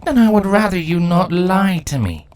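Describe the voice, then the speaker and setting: Woman playing a cold regal insect queen, animated, low-pitched. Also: natural voice. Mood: stern, controlled. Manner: imperious, measured, menacing. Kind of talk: dramatic fan fiction audio reading. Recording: clearly noisy recording.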